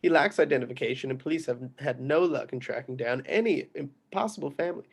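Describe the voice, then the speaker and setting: A man's voice: mid-range voice, fast talker, husky. A man playing a parish priest in a script table read, formally rattling off announcements to the congregation. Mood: formal, droning.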